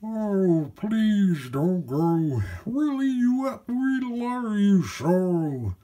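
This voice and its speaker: Man as wailing, high-pitched, pleading wild monster. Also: very animated, warm tone, heavily acted. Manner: drawn-out, wailing pleas. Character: big wild monsters, clingy, pleading, hungrily affectionate.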